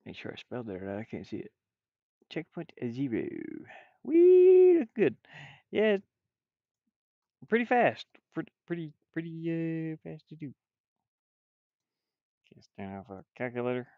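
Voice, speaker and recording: A man's voice: fairly high pitch, huge pitch swings, unhurried, long pauses, no echo, phone-quality sound. A man chatting casually on a livestream while he works, rambling and half muttering to himself. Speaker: streamer, game developer. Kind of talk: casual livestream chat while drawing game art.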